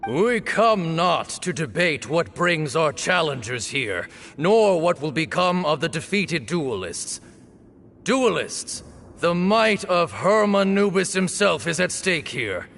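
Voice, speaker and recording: A man's voice: fairly high pitch, very animated, slow pace, gravelly, fairly bright tone, in a large hall, slight background noise, full character voice. A man voicing a solemn temple official presiding over a sacred duel in a game, proclaiming in a formal, ceremonial way. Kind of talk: game voice acting.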